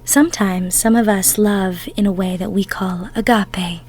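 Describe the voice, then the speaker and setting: A woman playing a tender, emotional goddess of love in an intimate roleplay audio, cooing soothingly in a breathy, near-whispered voice. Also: medium pitch, fairly even intonation, a little slow, a little mellow, a little hiss or hum, natural voice. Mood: tender, advising. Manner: soothing, cooing, coddling with pet names.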